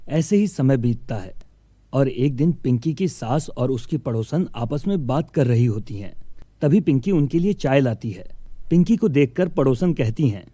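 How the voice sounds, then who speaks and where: A man narrates a scripted moral tale. His voice is medium in pitch and very dark and mellow, captured dry and close with no room sound. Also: animated, normal speed, no room sound.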